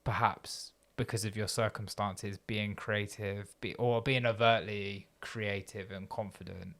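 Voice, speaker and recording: A man hosts a conversational podcast, his voice low and gravelly. He thinks aloud as he talks and asks long, winding questions that stop and restart. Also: fairly even intonation, measured pace, fairly bright tone. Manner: thoughtful, rambling questions with restarts. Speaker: podcast host. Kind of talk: conversational interview.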